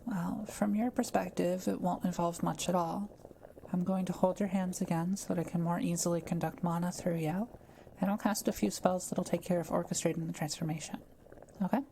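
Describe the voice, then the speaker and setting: Woman acting a gentle, mellow-voiced forest witch. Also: lowish voice, steady tone, fairly quick, vocal fry, a little hiss or hum, poor audio. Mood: gently reassuring. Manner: soft-spoken, careful, patient explaining. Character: kind, quiet-living forest witch, gently reassuring. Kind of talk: roleplay audio drama addressed to the listener.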